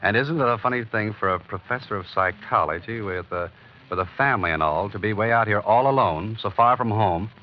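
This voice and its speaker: Actor as a cocky, low-voiced snooping investigator. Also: male voice, a little fast, natural voice. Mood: insinuating. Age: young adult. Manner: wry, insinuating, breezy. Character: cocky, nosy male investigator, wry and persistent. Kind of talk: 1940s radio drama acting. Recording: muffled, hissy old radio recording.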